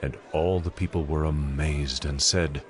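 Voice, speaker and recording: A man narrating a dramatized scripture reading in a measured, solemn way. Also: rumbling low, steady tone.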